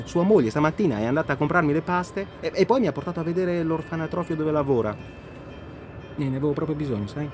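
A man's voice: fairly high pitch, lightly acted. Guy acting a giddy restless man on a call to his sweetheart, radio play, voice jumps all over, keeps repeating stuff, music under it. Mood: reassuring, earnest. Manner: halting, repeats words insistently, excitable. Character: elated, restless man phoning his beloved while driving. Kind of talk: naturalistic radio drama acting, one side of phone call.

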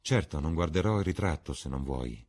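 Guy doing earnest painter in an audiobook, deep husky voice, devoted friend, a bit reproachful. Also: animated, normal speed, exaggerated voice. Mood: surprised, indignant. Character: earnest, devoted older male painter friend, gentle but indignant. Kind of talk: audiobook character acting.

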